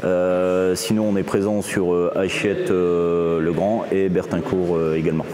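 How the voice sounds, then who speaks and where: A man's voice: deep voice, little pitch movement, a little slow, noisy background. A man who coaches at a boxing club answers interview questions, hesitating often with fillers and restarts.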